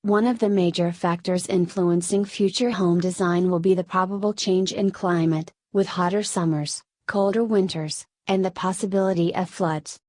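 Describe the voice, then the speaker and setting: Low-pitched, mellow woman reading aloud. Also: fairly even intonation, moderate pace, few pauses, no room sound, studio quality. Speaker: exam-practice narrator. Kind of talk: scripted read-aloud narration.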